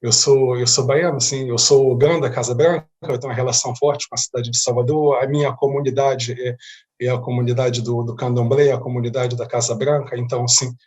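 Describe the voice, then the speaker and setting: Earnest Brazilian man, medium pitch. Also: steady tone, a little fast, darkish tone. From born in Rio de Janeiro, Brazil, feels Bahian. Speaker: interview guest, humanitarian diplomat. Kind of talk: conversational interview answers.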